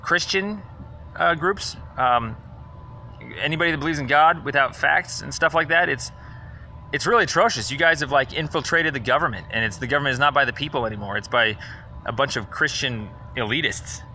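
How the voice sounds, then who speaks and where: A man's voice: a little high, brisk, bright, forceful tone, slight background noise. A man leaving a rambling, accusatory phone message in a sarcastic, confrontational, indignant manner. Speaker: caller leaving a voicemail complaint.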